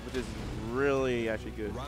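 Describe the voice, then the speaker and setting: A young man co-commentating a fighting game match with quick, animated banter. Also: mid-range voice, faint music behind. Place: large hangar hall at a gaming event.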